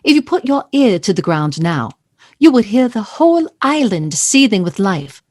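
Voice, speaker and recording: A woman's voice: medium pitch, sing-song, normal speed, darkish tone, dry recording, studio quality. A woman narrating an audio play as a wry, theatrical storyteller reading scripted literary prose.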